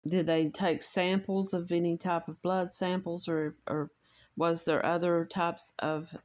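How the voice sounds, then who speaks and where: Woman, Appalachian accent, lowish voice; true crime host reading case notes, measured and a little halting, with personal asides. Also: level tone.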